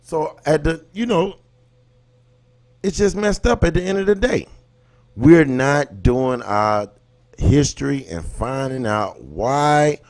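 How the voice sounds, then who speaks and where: Male livestream host, American accent, medium pitch; impassioned, colloquial, emphatic street-level commentary with rhetorical questions. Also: a little mellow. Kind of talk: impassioned casual commentary, talking to live viewers.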